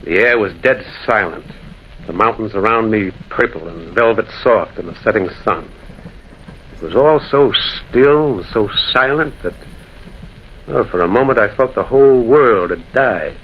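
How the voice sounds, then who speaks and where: An actor playing a lonely, haunted prospector telling a ghost tale in brooding, theatrical old-time radio style. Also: male voice, on the low side, expressive, measured pace. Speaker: narrator and lead character. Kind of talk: old-time American radio drama acting. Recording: dull, noisy old radio recording.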